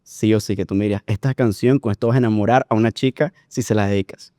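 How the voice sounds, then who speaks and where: A man's voice: lowish voice, fairly even intonation, quick pace, dark tone, no echo, clean studio sound. A man hosting a podcast, asking long, rambling questions in casual talk. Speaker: podcast host. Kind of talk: casual interview.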